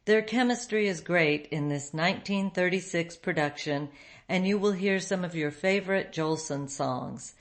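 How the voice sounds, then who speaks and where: Woman radio host, lowish voice, reading a scripted show intro. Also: normal speed.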